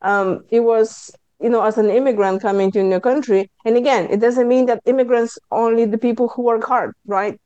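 Lithuanian lady coach sharing her story, warm smooth voice, dry close sound. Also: fairly high pitch, brisk, few pauses, no echo, highs cut off. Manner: earnest and candid, breaks into lively self-quotes. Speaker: stress management coach, guest. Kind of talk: personal story in a podcast interview.

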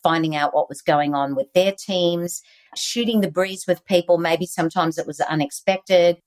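An Australian woman, a guest author on leadership, giving earnest, animated interview answers over a video call. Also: lowish voice, moderate pace.

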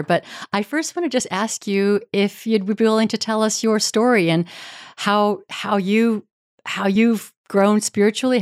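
A woman's voice: medium pitch, no room sound, clean studio sound. A woman hosting a spiritual podcast, introducing and questioning her guest enthusiastically. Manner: enthusiastic, warm, effusive. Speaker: podcast host, reverend. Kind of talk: podcast interview.

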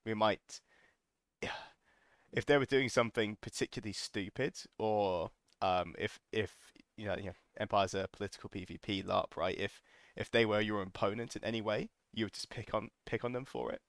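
Male host, lowish voice, dry close sound, relaxed and jokey. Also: normal speed, no room sound. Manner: relaxed, jokey, many fillers. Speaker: podcast host. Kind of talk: casual interview chat.

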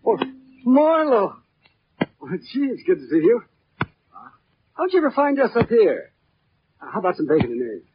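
Nervous, hesitant, friendly chap, raspy and lively; muffled 1940s radio drama. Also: male voice, high voice, normal speed, long pauses, heavily acted. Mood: nervously cheerful. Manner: flustered, hesitant, over-friendly. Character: nervous, eager, meek younger-brother type of man. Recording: muffled old radio recording.

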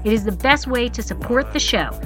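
A woman's voice: a little high, animated, fairly quick, faint music behind. A woman hosting a podcast who talks in a bubbly way and laughs often. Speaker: podcast host and sommelier. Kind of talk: podcast intro and casual interview.

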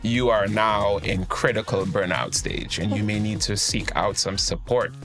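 A man's voice: on the low side, a little slow, music in the background. A man, speaking as a guest life coach in a casual interview and telling his story in a reflective, open way. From Trinidad and Tobago.

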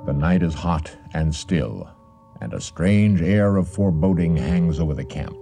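A man giving deliberate, suspenseful scripted narration for a radio drama. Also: low voice, animated. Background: faint music.

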